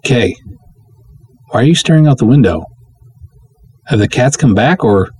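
Man reading as a plucky, slangy gal, husky and animated. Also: mid-range voice, moderate pace. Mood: sheepish. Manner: animated, slangy, breezy storytelling. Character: plucky, jittery young woman recounting a spooky night. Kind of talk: dramatic first-person story reading with 1950s slang.

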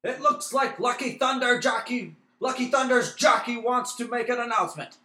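A man playing an excited, grandiose horse-race announcer in a radio play. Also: very high voice, normal speed. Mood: announcing. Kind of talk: old-time sports commentary in a radio play. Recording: roomy, echoing recording.

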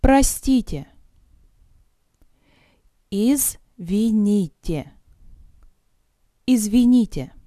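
A woman's voice: medium pitch, expressive, extremely slow, pauses often, warm tone, no room sound, studio quality. A woman teaching vocabulary in a drill, saying each phrase with careful enunciation, then breaking it up word by word and repeating it. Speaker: language teacher.

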